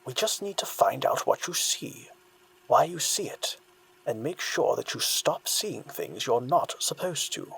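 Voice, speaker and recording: An actor playing a calm, controlling counselor in an audio drama, with a husky, gravelly voice, measured and insistent. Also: medium pitch, exaggerated voice, low-quality recording. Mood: reassuring. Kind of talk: scripted audio drama acting.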